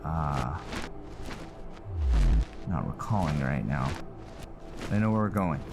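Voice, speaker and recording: A man giving casual commentary on his own video game playthrough, musing and halting as he thinks aloud. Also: rumbling low, animated. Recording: loud game music behind the voice. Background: loud game music.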